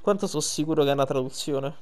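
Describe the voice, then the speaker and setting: A man giving casual, informal game commentary, halting and correcting himself as he translates dialogue on the fly. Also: a little high, a crowd in the background. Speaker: video game streamer.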